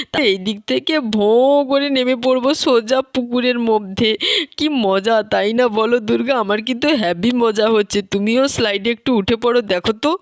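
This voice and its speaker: An eager, cheerful village father from a doll-play tale, acted out by a storyteller. He talks in a chatty, proud way and keeps seeking approval. The sound is dry and close, at a middling pitch. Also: dry recording, lightly acted. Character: Bhim, cheerful village dad showing off his homemade slide.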